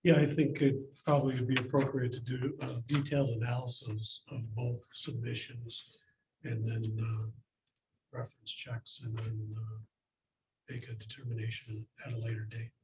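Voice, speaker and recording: A man's voice: mid-range voice, little pitch movement, normal speed, a little mellow, a little hiss or hum, rough recording. A man taking part in a meeting, talking in a deliberative way. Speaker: meeting participant.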